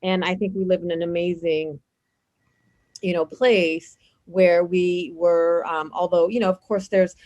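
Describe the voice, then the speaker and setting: A woman's voice: fairly low pitch, fairly even intonation, measured pace, a little mellow, lo-fi sound. A woman hosting a podcast, talking in a reflective, conversational way. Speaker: podcast host. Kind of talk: casual interview chat.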